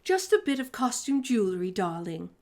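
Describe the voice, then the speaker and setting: A woman's voice: medium pitch, very animated, moderate pace, a little mellow. A woman voicing a grand, eccentric great-aunt in a storybook, giving emphatic instruction. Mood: breezily evasive.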